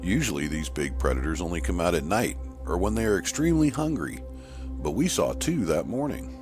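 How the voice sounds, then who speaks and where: A man's voice: low-pitched, big pitch swings, brisk, over music. A man voicing an earnest male scientist who recounts events emphatically in an audio horror story. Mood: earnest, emphatic. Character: male shark researcher earnestly recounting an attack.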